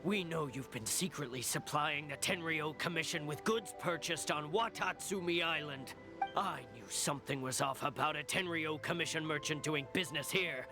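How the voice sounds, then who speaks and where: An actor playing a stern, self-righteous guard in a video game, haughty and interrogating. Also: male voice, a little high, moderate pace, music in the background, natural voice. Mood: accusing.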